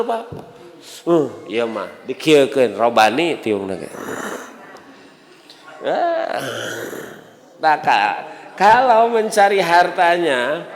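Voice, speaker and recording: A man with a Sundanese accent preaching an Islamic sermon conversationally, with rhetorical questions and acted-out dialogue. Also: medium pitch. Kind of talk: sermon to a congregation. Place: large mosque hall. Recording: reverberant mosque hall.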